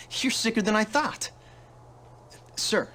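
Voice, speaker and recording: An actor playing a wisecracking, hot-headed soldier hero in a science fiction video game cutscene. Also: male voice, high-pitched, slow, sharp tone, exaggerated voice. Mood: sarcastic, complaining. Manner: cocky, sarcastic. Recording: music behind the voice. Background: music.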